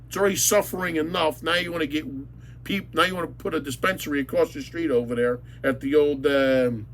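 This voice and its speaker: A man's voice: on the high side, brisk, slight background noise. A man ranting angrily about local matters, blunt and insulting, repeating words for emphasis. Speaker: local commentator. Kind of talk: angry ranting monologue.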